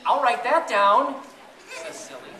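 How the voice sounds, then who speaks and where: A man reading a picture book aloud to a group of children in a playful, chatty way, pausing to ask them questions. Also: extremely high pitch, pushed and bright, some chatter behind. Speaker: storybook reader to children. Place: echoey room with an audience of families. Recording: roomy, distant event recording with audience noise.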